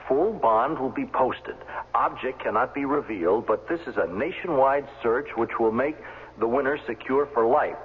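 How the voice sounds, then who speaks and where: A male actor plays an insistent, encouraging friend in a vintage radio drama. He speaks emphatically at a medium pitch, through thin phone-like sound with a reverberant tail. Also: normal speed, close to an everyday voice. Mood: eager, insistent. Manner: emphatic, coaxing. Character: eager, persuasive male friend pushing a job lead. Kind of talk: 1970s radio drama acting, reading out notices. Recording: phone-like, echoey old radio recording.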